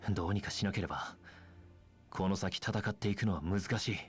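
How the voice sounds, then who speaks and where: A man's voice: low voice, rapid-fire, breathy edge, soft background music. A man playing an earnest, resolute young squad commander in an anime game, speaking seriously, firmly and formally. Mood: brooding.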